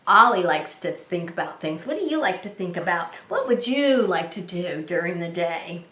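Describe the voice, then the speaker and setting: A woman hosting a preschool story time, talking to young children in a gentle, sing-song, encouraging way. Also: fairly low pitch, expressive, moderate pace. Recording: roomy, echoing indoor sound.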